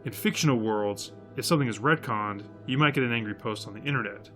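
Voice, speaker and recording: Man acting a sardonic, forceful-voiced agent. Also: medium pitch, quick pace, soft background music, natural voice. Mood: grave explaining. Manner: sardonic, world-weary, emphatic. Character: gruff, sardonic male agent leading a resistance. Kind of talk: audio drama acting.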